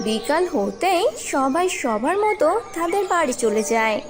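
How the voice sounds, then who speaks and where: Woman narrating a cartoon story, high lively voice, bit far from the mic. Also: measured pace, over music.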